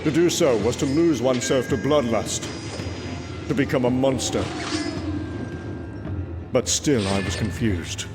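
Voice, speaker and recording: An actor playing a proud, brooding warrior god, telling his tale gravely and theatrically in a comic book drama. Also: medium pitch, natural voice. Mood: solemn, reflective. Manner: grave, theatrical, measured storytelling. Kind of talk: dramatic first-person comic book narration. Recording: music under the voice. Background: music.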